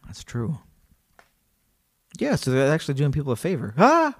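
A man co-hosting a podcast, chatting casually and reading a story aloud, animated and joking. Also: on the high side, moderate pace, breathy edge. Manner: animated, joking, laughs often. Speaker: podcast co-host.

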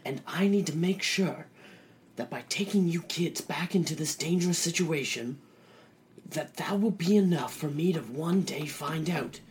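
A man's voice: on the high side, unhurried, slight background noise. A man narrating as game master and speaking solemnly in character. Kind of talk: narrating a comedy roleplay game.

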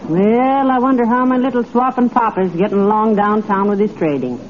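A woman's voice: mid-range voice, fairly quick, lightly acted. Vintage radio comedy housewife played by a woman: fond, wry, folksy wisecracks, slightly noisy muffled sound. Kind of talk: old-time radio comedy acting. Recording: dull vintage radio with faint noise.

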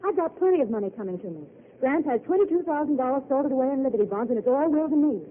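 A woman's voice: high-pitched, animated, rapid-fire, slight background noise. A woman playing a young waitress who longs to travel and is smitten with a drifter, acting for 1940s radio. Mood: dreamy. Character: young woman, lovestruck diner waitress, dreamy. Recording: tinny old radio broadcast.

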